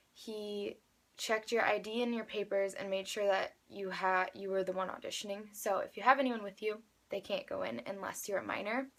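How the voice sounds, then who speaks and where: An eighteen-year-old woman with an American accent, telling a personal story casually in a chatty, rambling way full of fillers. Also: medium pitch. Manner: chatty, rambling, youthful filler-laden speech. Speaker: vlogger, amateur singer.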